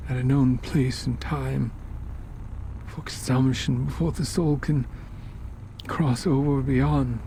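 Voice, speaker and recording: Faint noise sits behind a man playing an older occultist gentleman from a horror tale. His voice is mellow and very unhurried, at a middling pitch. He is polite and condescending, lecturing with quiet menace. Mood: solemn persuasive. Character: genteel, sinister older male occultist with walking cane. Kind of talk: horror story character dialogue.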